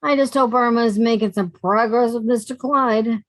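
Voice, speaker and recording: A woman playing a sensible, exasperated young roommate in a 1950s-style radio comedy, with a brisk, put-upon delivery. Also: on the high side, fairly even intonation, a little hiss or hum, close to an everyday voice. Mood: fed up.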